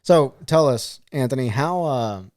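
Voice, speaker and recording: Man, podcast host, casual interview, halting restarts, mid-pitched, dry close sound. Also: animated, darkish tone, no room sound.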